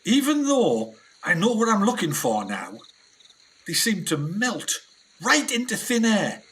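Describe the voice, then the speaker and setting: A man playing an eager, jumpy English explorer sidekick in a radio play, excitable and wide-eyed. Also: sits high, unhurried, heavily acted. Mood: amazed.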